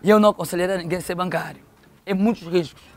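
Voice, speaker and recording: A man's voice: high-pitched, fairly even intonation, very fast. A middle-aged man with an Angolan accent telling stories conversationally as an interview guest, repeating phrases for emphasis. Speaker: interview guest, local football official.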